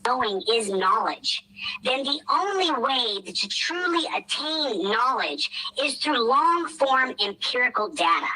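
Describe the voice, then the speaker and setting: Woman, higher-pitched, sharp bright tone, earnest explainer who won't stop lecturing. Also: very animated, unhurried, rough recording. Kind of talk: lecturing monologue.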